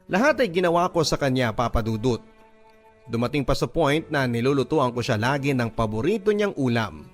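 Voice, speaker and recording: A man's voice: medium pitch, big pitch swings, fairly quick, soft background music. A man reading a scripted first-person love story as narration for a radio drama.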